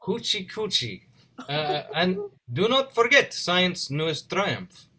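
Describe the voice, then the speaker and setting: Man acting eccentric immigrant inventor, highish swooping pitch, courteous, clipped, period stage drama. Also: very animated, takes it slowly, pushed and bright, exaggerated voice. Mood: curious, distracted. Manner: clipped phrasing with dropped articles, courteous. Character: eccentric, formal immigrant male scientist, earnest and awkward. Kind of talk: play reading, period drama. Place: indoors, remote online reading. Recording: video call.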